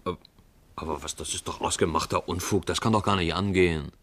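An actor playing a laconic newspaper reporter with a casual manner in a 1950s German radio crime drama. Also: male voice, sits low, steady tone, fairly quick, a bit bright. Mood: defensive.

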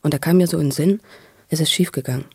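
A man with a husky, unclear voice tells a personal story out loud. He speaks intensely and spontaneously, stumbling and repeating words as he goes. The creaky edge shows in his phrases. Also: fairly high pitch, quick pace, no room sound, clean studio sound. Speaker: interviewee telling his life story.

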